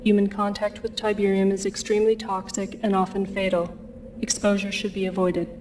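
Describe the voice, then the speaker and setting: A sober female documentary narrator played by an actress for a video game cutscene. Her delivery is flat, formal and factual, with faint noise and ambience behind it. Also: medium pitch, brisk, natural voice. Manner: formal, informational.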